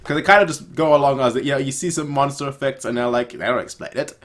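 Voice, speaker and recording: A man's voice: medium pitch, fast talker, a bit bright. A man giving casual, off-the-cuff commentary as a reaction host, rambling and emphatic, often repeating phrases to drive home his opinions.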